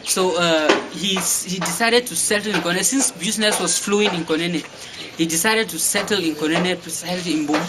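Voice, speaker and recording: Cameroonian guy, kinda high voice, lots of uh and restarts, noisy background. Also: normal speed. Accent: Cameroonian English. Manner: hesitant, many fillers and restarts. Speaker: guest. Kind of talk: interview answers.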